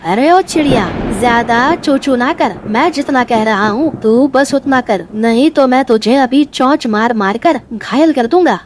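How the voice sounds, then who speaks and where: A woman's voice: fairly high pitch, measured pace, hardly any pauses, slight background noise, lightly acted. A woman voicing a lazy, scheming male crow in a cartoon fable, with a taunting, bossy manner. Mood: threatening, bossy. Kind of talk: animated fable dialogue.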